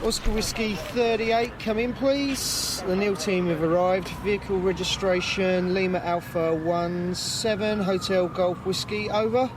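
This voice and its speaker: An easygoing British policeman in an audio drama. He is matter-of-fact and sounds set back from the mic amid clear outdoor traffic noise. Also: high voice, fairly even intonation, a little slow, few pauses, distant mic. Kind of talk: naturalistic audio drama acting.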